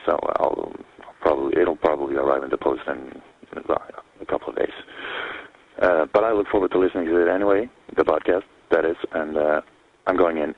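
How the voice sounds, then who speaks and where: A hoarse man with a cold, leaving a rambling, self-mocking voicemail from his balcony at night. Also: rumbling low, no echo. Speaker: listener calling in a voicemail. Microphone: phone voicemail. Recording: muffled phone voicemail.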